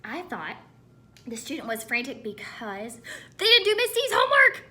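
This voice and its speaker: A woman schoolteacher with a high, bright voice leads a read-aloud lesson for children. Her delivery swings up and down with lots of animation as she instructs and asks questions. There is some low rumbling noise in the recording. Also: wildly swooping pitch, normal speed. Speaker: elementary school teacher.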